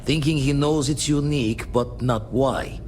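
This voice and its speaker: An actor playing a gruff, street-smart spacefaring ex-pirate in a video game, talking in clipped, folksy slang. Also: male voice, mid-range voice, unhurried, darkish tone, a little hiss or hum, close to an everyday voice. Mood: conspiratorial.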